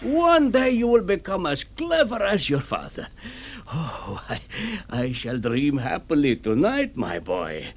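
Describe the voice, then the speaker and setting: A man's voice: fairly high pitch, sing-song, unhurried, exaggerated voice. An actor playing a cheerful, shrewd art dealer lecturing his son indulgently, in a theatrical old radio drama style. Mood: triumphant, indignant. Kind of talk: 1950s radio drama acting.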